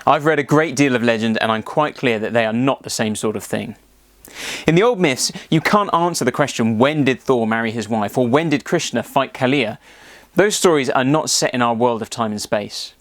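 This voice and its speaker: A man's voice: medium pitch, lively intonation, normal speed, no room sound. A man presenting a scripted explanatory narration.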